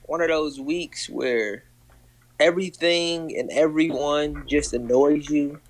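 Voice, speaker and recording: A man co-hosting a casual podcast, speaking in a slow, deliberate, skeptical way. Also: a little high, low-quality recording. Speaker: podcast co-host. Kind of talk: casual chat.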